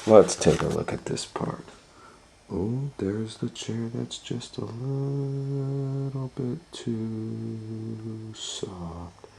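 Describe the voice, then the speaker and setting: A man's voice: on the low side, expressive, extremely slow, creaky, mellow, a little hiss or hum. A man reading a children's story aloud as an educator, asking rhetorical questions and explaining the pictures to young listeners. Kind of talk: animated children's storytime narration.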